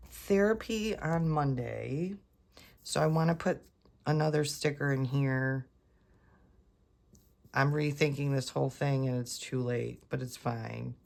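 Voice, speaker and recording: A congested woman with a very low voice chats unhurriedly as she works through a craft project. She keeps talking to her viewers, changing her mind and correcting herself. Her nose is stuffed up, so her voice sounds a bit off. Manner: chatty, self-correcting, talks to viewers while working. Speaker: planner vlogger. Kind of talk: casual rambling craft chat.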